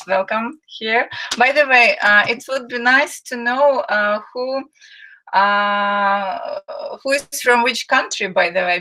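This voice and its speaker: A woman from Ukraine who speaks English as a hosts an online webinar through a computer mic. Her voice is bright, and she talks slowly, pausing often on "uh" as she greets viewers. She reads their chat messages aloud in a friendly way. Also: mid-range voice, poor audio. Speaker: webinar host, marketing and sales manager. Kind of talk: live webinar hosting, chatting with viewers.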